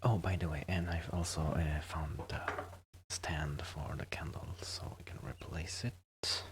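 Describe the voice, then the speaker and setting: A man doing a soft-spoken, casual ASMR roleplay, chatting gently and hesitantly to the listener, at times dropping into a whisper. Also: rumbling low, steady tone, a little slow. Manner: hesitant, rambling, gentle, often drifting into whispers. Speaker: ASMR performer playing a friendly host.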